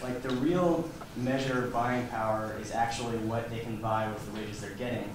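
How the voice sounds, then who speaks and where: A man's voice: mid-range voice, fairly even intonation, normal speed. A man making a debate argument in a casual, fluent way, often saying "like". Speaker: student debater. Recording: echoey hall from far off, noisy.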